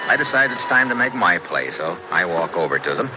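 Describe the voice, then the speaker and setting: A wisecracking American secret agent talks in a fairly low voice with a breezy, hard-boiled manner. It is 1950s radio drama acting, buried in heavy noise from an old recording. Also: male voice, big pitch swings, a little fast. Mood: brisk, hard-boiled. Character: wisecracking, cool American undercover agent hero. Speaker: lead and narrator.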